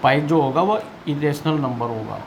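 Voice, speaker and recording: Indian guy teaching maths, pretty high voice, asks questions then answers them, bit of noise behind. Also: normal speed. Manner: asks and answers own questions, repeats key points. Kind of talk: explanatory classroom-style maths lesson.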